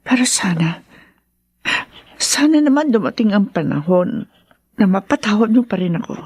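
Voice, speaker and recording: Radio drama mother, middle-aged: remorseful, halting and pleading, very expressive intonation. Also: mid-range voice, slightly rough, no echo, clean studio sound. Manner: hesitant, pleading. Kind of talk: Tagalog radio drama acting.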